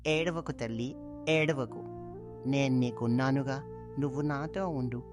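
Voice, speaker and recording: Actor voicing a kindly elder, low-key, music behind. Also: a little slow, lightly acted. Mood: tender. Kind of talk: cartoon moral story dialogue.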